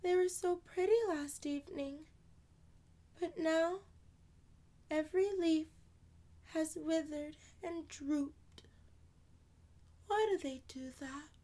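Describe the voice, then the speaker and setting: A woman's voice: extremely high pitch, slow pace, pauses often, mellow, pitched above the performer's own voice. A woman voicing a curious little girl with a plaintive, wondering manner in a children's storybook reading. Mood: worried, sad. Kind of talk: children's storybook read-aloud.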